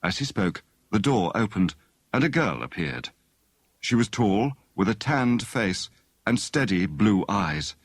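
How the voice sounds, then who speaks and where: A man's voice: lowish voice, big pitch swings, measured pace, no echo. A man giving scripted literary narration for an audiobook.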